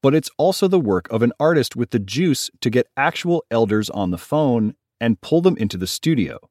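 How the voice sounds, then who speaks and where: A man hosting a podcast, narrating a scripted story in a measured, explanatory way. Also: mid-range voice, big pitch swings, darkish tone, dry recording, clean studio sound. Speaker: podcast host.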